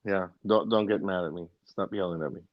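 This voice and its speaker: A man's voice: low-pitched, a little fast, muffled recording. A man co-hosting a podcast in casual chat, easygoing and agreeable, with light teasing. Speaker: podcast co-host.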